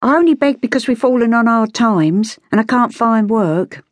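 Narrator voicing a high-pitched, defiant beggar girl. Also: expressive, moderate pace, dry recording, clean studio sound, lightly acted. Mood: reluctant. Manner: streetwise, uneducated speech, guarded and cheeky. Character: poor, wary, defiant sixteen-year-old London beggar girl.

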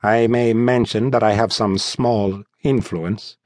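A man voicing a refined, languid young nobleman in an audiobook, haughty and bored, speaking formally and with measured disdain. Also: fairly low pitch, steady tone, darkish tone, no room sound, clean studio sound. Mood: haughty, offended. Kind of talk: audiobook character voice.

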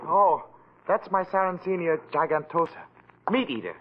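An actor playing an eerie, wasted invalid obsessed with poisonous plants, excitable and fussy, in a vintage radio mystery. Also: male voice, on the high side, normal speed, natural voice. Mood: gleefully lecturing. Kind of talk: 1940s radio mystery acting. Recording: muffled old radio recording, faint hiss.

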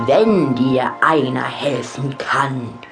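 A narrator voicing an easygoing female turtle who talks in a placid, drawn-out way for a children's story. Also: mid-range voice, vocal fry, loud music behind the voice. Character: unhurried, easygoing female turtle, calmly helpful.

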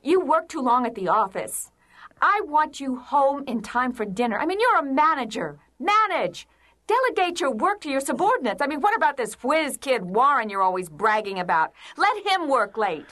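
A woman playing a nagging, demanding, exasperated wife in an audio drama, scolding and sharp-tongued. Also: sits high, very animated, moderate pace.

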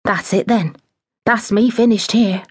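A woman's voice: medium pitch, steady tone, measured pace, breathy edge, no echo, pitched above the performer's own voice. A woman doing a fussy housekeeper. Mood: anxious. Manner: fussy, dramatic, blunt. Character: flustered middle-aged female housekeeper, bossy.

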